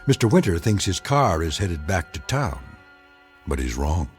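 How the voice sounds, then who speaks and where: An actor narrating an eerie radio drama as a grave, ominous storyteller, measured and portentous. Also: male voice, low voice, sing-song, soft background music.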